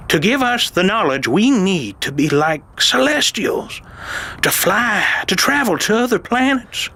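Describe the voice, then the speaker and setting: Irascible old uncle, acted by a man, slightly high voice, slow halting delivery, urgent and desperate, audio drama. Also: sing-song, bright, forceful tone, breathy edge, exaggerated voice. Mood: earnest. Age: older. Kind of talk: dramatized audio fiction acting.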